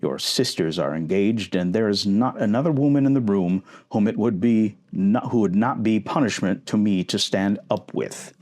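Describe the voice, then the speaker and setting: A man plays a proud young gentleman from a classic novel. He speaks low, with haughty disdain, keeping himself aloof from everyone. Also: normal speed, few pauses, lightly acted. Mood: disdainful.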